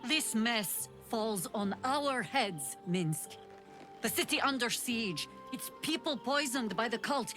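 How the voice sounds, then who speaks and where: A woman's voice: fairly high pitch, expressive, slow, fairly bright tone. An actress playing a stern, weary, middle-aged adventurer in a fantasy video game, dry and scolding in her delivery. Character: stern, weary, exasperated veteran female adventurer. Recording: music behind the voice.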